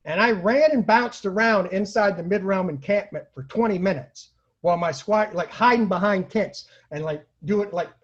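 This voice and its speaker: High-pitched husky man telling funny stories. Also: normal speed. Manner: animated, acts out quoted lines, laughs at own stories. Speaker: storyteller, online show host. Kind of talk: casual comic anecdote telling. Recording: dull lo-fi home recording.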